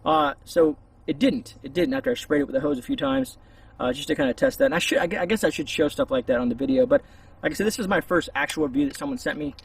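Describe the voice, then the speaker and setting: A man with a gravelly, husky voice giving a casual, rambling, hesitant product review outdoors, a little distance from the camera. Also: fairly high pitch, very animated, fast. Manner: informal, hesitant, meandering. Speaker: YouTube reviewer. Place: outdoors among trees. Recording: voice distant from the mic.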